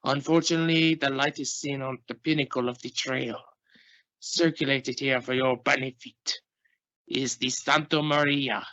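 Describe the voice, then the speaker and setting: A man playing a solemn, formal Russian space agency spokesman in an amateur radio play, speaking with deliberate regret. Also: mid-range voice, fairly even intonation, pushed and bright, close to an everyday voice. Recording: dull, noisy home-made radio play recording.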